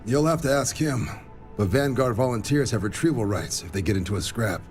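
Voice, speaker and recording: Man playing a wry, animated military recruiter. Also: medium pitch, brisk, soft background music, lightly acted. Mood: wry, casual. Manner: casual, wry, colloquial. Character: wry, easygoing male military recruiter officer. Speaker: military officer character. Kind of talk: video game cutscene acting.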